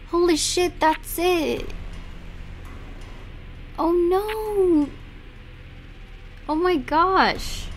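A woman's voice: very high voice, a little hiss or hum. A young woman playing a game, reading its text aloud and reacting casually with giggles and exclamations. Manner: giggly, exclamatory, self-correcting. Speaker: let's player.

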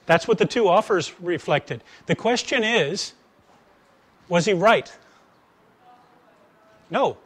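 A middle-aged man with an American accent delivers an informal talk. His voice sits a bit higher than most men's, and he explains through animated anecdotes, often stopping to pose a rhetorical question. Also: slow pace, pauses often, slightly rough. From United States. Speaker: speaker giving a talk, former engineer turned sales trainer. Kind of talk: conversational public lecture with acted-out anecdotes. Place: attic seminar room with wooden floor. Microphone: lapel mic.

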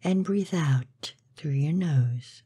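A woman leads a guided meditation in a low, very mellow and dark voice. Her soft, breathy delivery is slow and soothing, with measured pauses between phrases. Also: dry recording.

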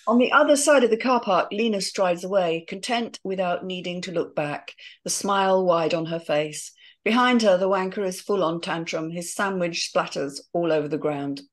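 A woman's voice: lowish voice, normal speed. A woman steadily reading the scene directions of a screenplay in a matter-of-fact way. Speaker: narrator reading stage directions.

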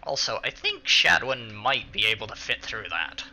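A man's voice: mid-range voice, very animated, normal speed, creaky, sharp tone. A man hosting a casual let's play, muttering, swearing and talking to the game's characters. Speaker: gaming video host. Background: game music.

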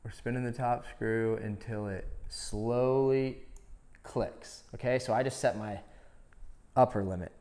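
A man's voice: medium pitch, fairly even intonation, unhurried, warm tone. A man demonstrating a product in a conversational, step-by-step instructional style. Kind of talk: hands-on instructional explanation.

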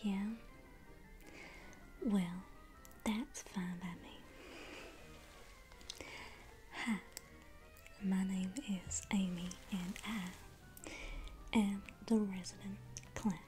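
A young woman playing a sweetly menacing female circus clown in an ASMR roleplay, coaxing and teasing in a breathy whisper. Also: mid-range voice, steady tone, drawn-out words, pauses often, mellow. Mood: playful, sly. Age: young adult. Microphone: close ASMR microphone. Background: music.

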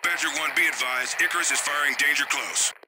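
An actor playing a military radio operator in a shooter game, giving clipped procedural reports through a radio filter. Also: male voice, on the high side, quick pace. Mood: brisk, alert. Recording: very noisy military radio transmission.

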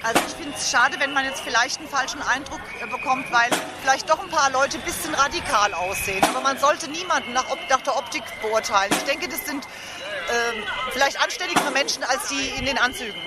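A woman's voice: sits high, a little fast. Indignant woman interviewed on the street, music behind. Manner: indignant, emphatic. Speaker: passer-by being interviewed. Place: outdoors at a street demonstration.

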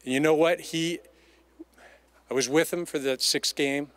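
A man's voice: fairly high pitch, fairly even intonation, measured pace, a bit bright, dry recording. A middle-aged man giving a heartfelt memorial tribute, speaking emphatically and repeating phrases for weight. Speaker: eulogist. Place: outdoors in an empty baseball stadium. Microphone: microphone on a stand.

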